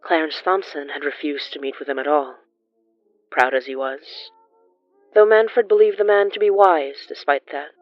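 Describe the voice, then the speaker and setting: Horror fiction narrator, mid-range voice, formal and measured, slightly dull dry recording. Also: medium pitch, no echo, faint music behind.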